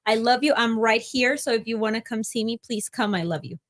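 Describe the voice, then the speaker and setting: A woman's voice: fairly high pitch, fairly quick. A young woman co-hosting a casual podcast, teasing and swearing as she tells stories with animation. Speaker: podcast co-host. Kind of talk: casual livestream chat.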